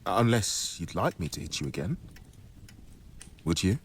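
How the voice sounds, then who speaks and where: A man's voice: low voice, big pitch swings, measured pace, a little hiss or hum. A curt, menacing man. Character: blunt, threatening, gruff young man. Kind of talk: radio drama acting.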